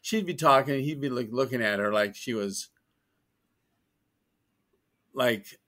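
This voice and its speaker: A man's voice: medium pitch, slow pace, fairly bright tone. A man, a guest author, chatting in an interview and telling wry, joking stories with many restarts. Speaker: guest, science fiction author. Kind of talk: casual interview chat.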